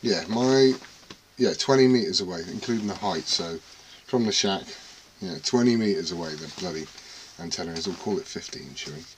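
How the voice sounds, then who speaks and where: A man's voice: low-pitched, normal speed, slight background noise, poor audio. A British man vlogging casually from his radio shack, rambling, swearing freely and poking fun at himself. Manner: sweary, self-deprecating, rambling. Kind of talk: casual rambling chat to camera.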